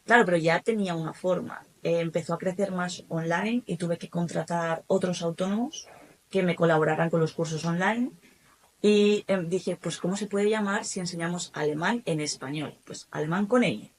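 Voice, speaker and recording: Spanish woman telling stories, lower voice. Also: normal speed, slightly rough. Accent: Spanish from Spain. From Spain. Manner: lively storytelling with many asides. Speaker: guest, German teacher and academy founder. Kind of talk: casual interview.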